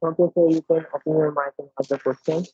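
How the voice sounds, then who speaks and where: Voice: medium pitch, fairly even intonation, fast talker, warm tone, slightly rough. A webinar moderator on a video call, wrapping up and handing the mic to participants. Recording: dry, dull call audio with faint music.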